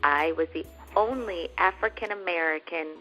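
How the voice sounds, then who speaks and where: A woman from Northern California chatting as an actress guest in an interview, animated and laughing as she talks, bringing up her faith. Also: deep voice, moderate pace, faint music behind, rough recording. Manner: animated, laughing, with religious references. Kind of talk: casual interview chat.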